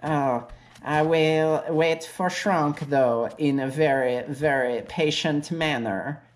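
A woman voicing an eager, lovesick gray donkey in a stilted, over-polite, drawn-out manner. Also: sits low, voice pushed down. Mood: longing.

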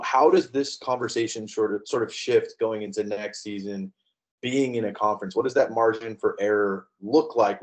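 Male sports show host, slightly low voice, casual interviewer on a video call. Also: poor audio. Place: indoor room, video call.

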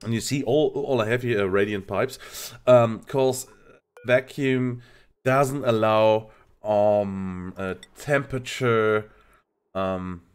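A man's voice: lowish voice, slow pace, clear, resonant tone. A man with a German accent, a native German speaker, hesitantly explaining a game in a casual tutorial, searching for words. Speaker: game streamer, tutorial host. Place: indoor home streaming setup.

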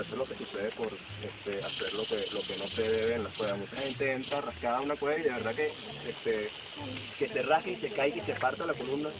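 Fast-talking young Venezuelan man, noisy recording. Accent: Venezuelan Spanish. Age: young adult. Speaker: cave guide. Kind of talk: informal safety briefing with anecdotes. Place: outdoors in a forest, by a signboard. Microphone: camera a few steps away. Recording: noisy low-quality outdoor camera audio.

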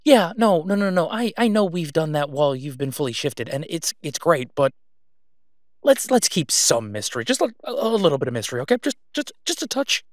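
A man playing a devoted, reassuring boyfriend in an intimate roleplay audio drama, speaking softly and haltingly in a conversational way. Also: on the high side, very animated, no echo, studio quality. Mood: flustered, pleading.

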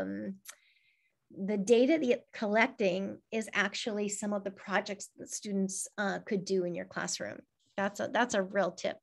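Gravelly-voiced woman leading a teacher workshop. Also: fairly high pitch. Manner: conversational, frequent "um" and "right?". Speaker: workshop presenter. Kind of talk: online teacher-training talk. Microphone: video call.

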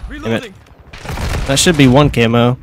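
Young male streamer, nasal from a cold, casual and reactive, mid-pitched, heavy gunfire behind. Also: unhurried, noisy background. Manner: reactive, swings from mutters to excited shouts. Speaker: gaming streamer. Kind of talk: casual gameplay commentary. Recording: voice over loud gunfire game audio.

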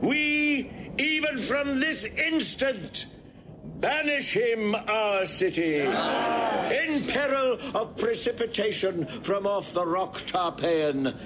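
An actor playing a stern, accusing Roman tribune, proclaiming deliberately in classical Shakespearean drama. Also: male voice, sits high, expressive. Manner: declamatory, deliberate, public proclamation. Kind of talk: classical Shakespearean stage acting. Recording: noisy old recording with crowd.

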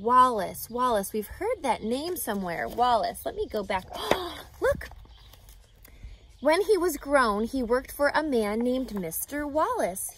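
A woman reads a children's story aloud, expressive and emphatic, addressing young listeners. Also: high voice, slightly rough. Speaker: reading teacher, storyteller. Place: outdoors in a grassy lakeside yard.

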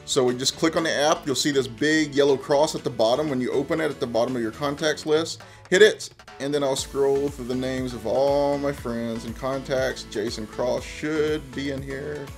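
A man's voice: medium pitch, steady tone, moderate pace, hardly any pauses. Casual man giving a tech tutorial, music behind. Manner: conversational, explains step by step. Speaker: tech presenter. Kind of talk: casual how-to tutorial narration.

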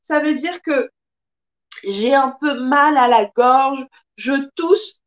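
A woman in her thirties teaching a live-streamed French lesson, speaking carefully and expressively, recorded by a webcam in a small room. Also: high voice. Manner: slow, careful, expressive teacher with lots of hand gestures. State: occasional coughing from pollen allergies.

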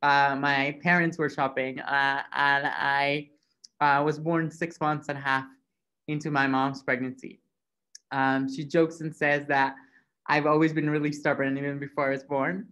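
A man's voice: on the high side, flat delivery, a little slow, pushed and bright, clear, resonant tone. A man in his thirties from Mexico, hosting an online event over a video call from an office, upbeat and full of fillers. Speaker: event host. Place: office room, indoors. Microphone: video call webcam.

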